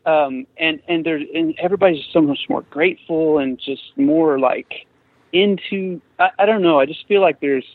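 A man's voice: fairly high pitch, moderate pace, dry recording. A man in his forties, a guest musician talking casually in an interview, rambling with many restarts and fillers. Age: 40s. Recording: tinny phone-like remote line.

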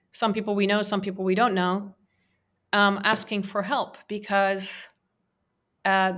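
Bright-voiced woman, halting earnest speech. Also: medium pitch. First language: Persian. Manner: halting, many fillers and restarts. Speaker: interviewee, women's peace advocate. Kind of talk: earnest interview answers.

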